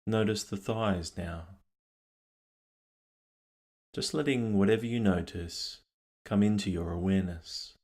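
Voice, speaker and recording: A man leading a guided meditation, speaking calmly and gently in unhurried phrases with long pauses. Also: on the low side. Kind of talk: guided meditation, scripted narration.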